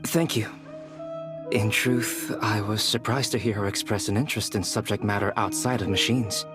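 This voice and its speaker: A man playing a calm, courteous scholar in a video game, speaking in a measured, polite way. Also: lowish voice, over music, natural voice. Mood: composed. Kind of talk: video game dialogue acting.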